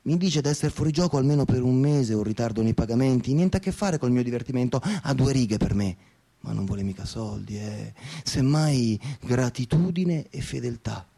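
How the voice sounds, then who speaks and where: Jaded, restless man with mellow voice. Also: medium pitch, expressive, normal speed, gravelly. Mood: cynical. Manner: confessional, cynical, restless storytelling. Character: jaded, coked-up male professional narrating his restless night. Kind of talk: dramatic monologue in radio theatre.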